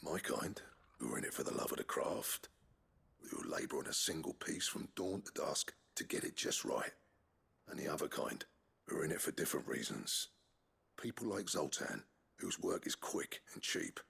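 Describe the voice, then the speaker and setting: A low, heavily gravelly voice for a proud master smith in a video game. He is husky and brooding, weighed down by guilt, with an earthy gruffness and lively swings in pitch. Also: moderate pace, exaggerated voice. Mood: bitter, regretful. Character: weary, proud male master smith, guilt-laden exile. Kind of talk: video game drama acting.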